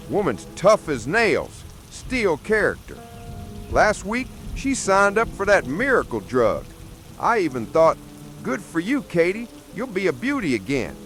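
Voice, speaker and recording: A man's voice: fairly high pitch, fairly bright tone, a little hiss or hum. A man playing a blunt, tough old Vietnam veteran grandfather telling his story in a horror radio drama. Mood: wry, fond. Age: older. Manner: blunt, salty military storytelling.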